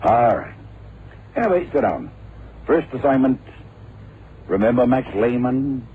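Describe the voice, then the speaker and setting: An actor playing a brisk, authoritative agency chief in a radio drama, grave and dramatic in delivery. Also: male voice, medium pitch, animated, close to an everyday voice. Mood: grave, briefing. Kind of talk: 1960s-70s radio drama acting. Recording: narrow, noisy old radio recording.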